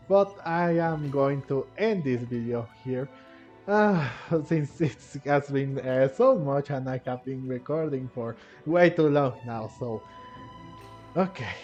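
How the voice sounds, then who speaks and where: Male gaming creator, mid-pitched, informal commentary and read-aloud choices, slightly muffled lo-fi sound. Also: expressive, slow pace, a little mellow. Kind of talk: casual gameplay commentary, reading dialogue choices aloud. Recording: dull lo-fi mic, faint game music.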